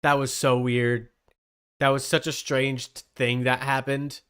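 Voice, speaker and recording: Male streamer, clear resonant voice, loose conversational delivery that wanders as he thinks aloud. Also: mid-range voice, little pitch movement, measured pace, fairly bright tone. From Canada. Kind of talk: casual livestream chat.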